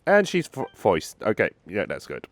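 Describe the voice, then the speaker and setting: A woman's voice: rumbling low, huge pitch swings, normal speed. A woman giving casual game commentary, musing aloud and echoing the dialogue as she goes. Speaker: gamer, let's-play commentator. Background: game music.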